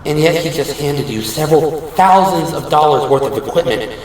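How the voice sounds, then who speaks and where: A man's voice: on the high side, normal speed, darkish tone, a little hiss or hum, poor audio. A man narrating an audio drama from a script in a dramatic, storytelling style. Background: music.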